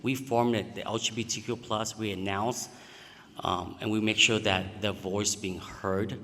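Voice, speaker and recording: A man's voice: lowish voice, steady tone, unhurried, pushed and bright, slight background noise. A man from Vietnam, answering at a candidate forum in plain, slightly broken phrasing. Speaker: city council candidate. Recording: reverberant hall.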